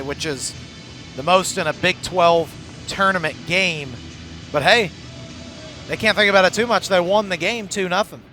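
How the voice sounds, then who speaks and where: Male color analyst for baseball radio, slightly high voice, conversational and explanatory, faint music behind. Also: pushed and bright. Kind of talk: conversational live sports analysis. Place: outdoor ballpark broadcast.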